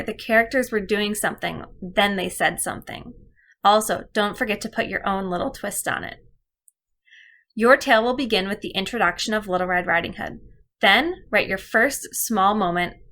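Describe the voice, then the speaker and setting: Bright-voiced woman teaching children. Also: medium pitch. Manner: clear step-by-step instructing, addressing pupils directly. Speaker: elementary school teacher. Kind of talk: instructional video lesson for children.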